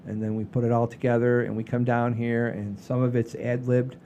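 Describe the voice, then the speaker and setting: A man's voice: flat delivery, fairly quick, dark tone. A man co-hosting a comedy show, chatting casually to the audience in a rambling way and often repeating his phrases. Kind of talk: casual chat, addressing the audience. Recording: low rumbling background noise.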